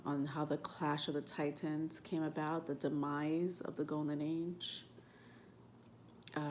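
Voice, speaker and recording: Stuffed-up woman vlogger with a low voice, loose and rambling delivery, filler-heavy, slight room hiss. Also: a little mellow. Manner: rambling, frequent ums and self-corrections. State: stuffed up nose. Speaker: tarot and astrology vlogger. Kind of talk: casual talk to camera.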